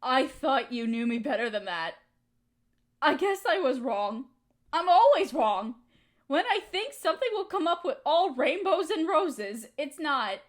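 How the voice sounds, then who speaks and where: A woman's voice: high voice, sing-song, a little slow. A young woman acting a hurt, resentful friend in a dramatic audio roleplay, speaking in an emotional, accusing way. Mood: angry, hurt.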